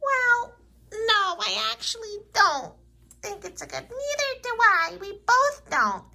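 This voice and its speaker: Little girl puppet for kids' show: extremely high, very bright, childlike, earnest. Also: big pitch swings, slow pace, gravelly, heavily acted. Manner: childlike, gushing, hesitant.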